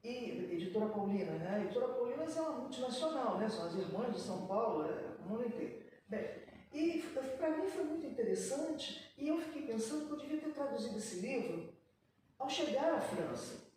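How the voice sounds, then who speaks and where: A woman speaking on a literary panel, thinking aloud with frequent pauses and restarts, recorded from a distance in an echoey room. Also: fairly high pitch, big pitch swings, dark tone. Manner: reflective, many pauses and restarts. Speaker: writer on a panel. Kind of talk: panel discussion talk.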